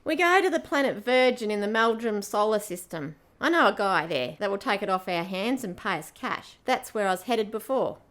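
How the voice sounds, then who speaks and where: A woman's voice: mid-range voice, animated, moderate pace, hardly any pauses, a bit bright, close to an everyday voice. A woman playing a cheeky, roguish female treasure hunter in a space radio play. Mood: matter-of-fact. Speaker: amateur radio play actor. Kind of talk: radio drama acting.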